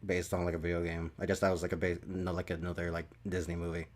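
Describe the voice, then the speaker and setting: A man hosting a casual podcast chat, speaking in a hesitant, halting way and often repeating himself. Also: deep voice, completely flat delivery. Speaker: podcast host.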